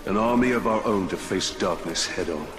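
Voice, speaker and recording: An actor playing a grim, vengeful ranger who speaks in terse, resolute lines. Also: male voice, deep voice, normal speed, lightly acted. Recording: game audio with music.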